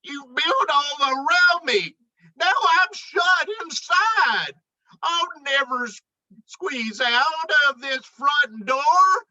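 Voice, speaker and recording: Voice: high voice, lively intonation, extremely slow, sharp tone, highs cut off, voice pushed up, exaggerated voice. A woman voicing a bossy, easily panicked male moose foreman in a children's storybook, with dramatic, commanding exclamations. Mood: panicked, wailing.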